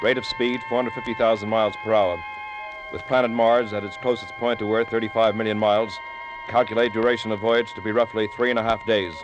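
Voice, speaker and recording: A fairly low-voiced American man acting as a commanding rocket ship captain in an old radio play. He is clipped and formal. The vintage recording sounds dull, with music clearly behind him. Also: flat delivery, brisk, natural voice. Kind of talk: 1940s radio drama acting.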